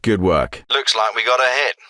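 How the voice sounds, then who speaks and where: A man's voice: lowish voice, lively intonation, normal speed, sharp tone, no room sound, studio quality. A man voicing a businesslike military intelligence handler in a video game, giving mission briefings with clipped, purposeful delivery.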